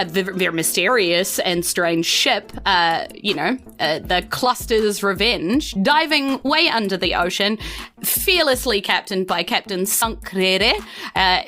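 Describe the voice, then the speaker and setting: A woman with a New Zealand accent hosting a tabletop game podcast, chatty and playful as she narrates. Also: medium pitch. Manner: playful, animated, giggly. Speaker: game master and podcast host. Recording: music behind the voice.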